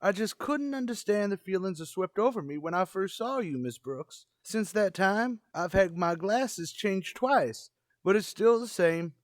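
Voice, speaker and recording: High-voiced, folksy old lovestruck custodian. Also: male voice, expressive, a little slow, dry recording, clean studio sound. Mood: smitten, confessing. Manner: folksy drawling rustic turns of phrase. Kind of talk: old-time radio comedy acting.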